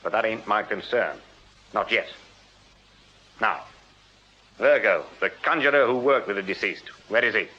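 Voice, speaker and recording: An English actor playing a shrewd, stern Victorian police sergeant in a radio drama, dry and authoritative in manner. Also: male voice, fairly low pitch, normal speed, pauses often, lightly acted. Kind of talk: British radio drama acting. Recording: dull radio drama sound with noise.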